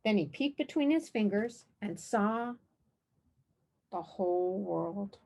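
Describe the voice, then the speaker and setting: Female children's author, mid-pitched voice, storybook read-aloud narration. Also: expressive, slow, gravelly, a little mellow. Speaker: children's author reading own book.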